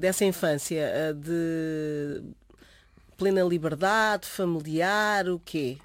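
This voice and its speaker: A male interviewer with a somewhat high voice, mostly murmuring agreement and now and then asking short, gentle questions. His voice sounds dry and close. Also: slow, no room sound.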